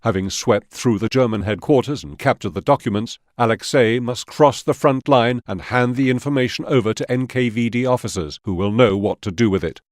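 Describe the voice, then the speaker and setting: An actor playing a stern Soviet army officer giving scripted mission briefings in a war game. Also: male voice, mid-range voice, a little fast, hardly any pauses, no echo, clean studio sound, natural voice. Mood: grave, commanding.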